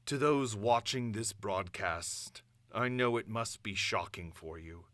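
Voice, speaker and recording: A man performing a grandiose supervillain dictator's monologue, pompous and deliberate, addressing the world in imperious, theatrical declamation. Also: lowish voice, fairly bright tone, dry recording. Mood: mock magnanimous. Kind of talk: dramatic villain monologue.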